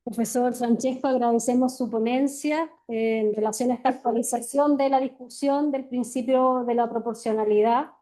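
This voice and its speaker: A woman's voice: fairly high pitch, steady tone, normal speed, hardly any pauses, warm tone. A woman moderating an academic seminar, polite and formal. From Chile. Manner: polite, formal, introduces speakers.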